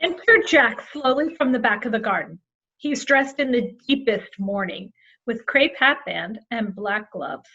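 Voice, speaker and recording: Bright, husky woman narrator, dull recording. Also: a little high, lively intonation, unhurried. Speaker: narrator of stage directions. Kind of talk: scripted narration. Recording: dull, lo-fi microphone sound.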